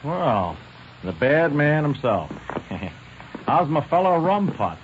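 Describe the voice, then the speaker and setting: An actor playing a wry, teasing middle-aged small-town uncle in a 1940s radio play, folksy and joking. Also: male voice, fairly high pitch, sing-song, slow. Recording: muffled, very noisy old radio recording.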